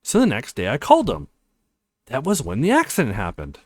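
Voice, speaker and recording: A man's voice: medium pitch, huge pitch swings, a little fast, a little mellow, breathy edge, no room sound, studio quality, voice pushed down. A man voicing an earnest, worried young male college student in a visual novel. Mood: earnest, sorry.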